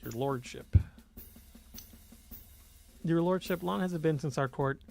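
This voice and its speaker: Idealistic young game queen voiced by a man, plain and earnest, slightly hesitant, creaky. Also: a little high, a little slow, darkish tone, faint music behind. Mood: awkwardly formal.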